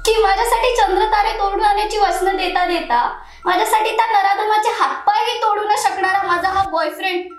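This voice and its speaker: A woman's voice: very high-pitched, fairly even intonation, fairly quick. An actress playing a hurt and angry young woman commuter in a stage monologue, speaking in a bitter, accusing way. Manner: bitter, accusing, rising to anger. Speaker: actor in a one-act monologue. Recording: very echoey room, music underneath.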